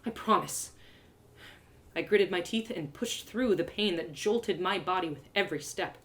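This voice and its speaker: A woman's voice: on the low side, moderate pace. A woman reading a fantasy story aloud live, narrating in the first person with dramatic expression. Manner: dramatic, animated.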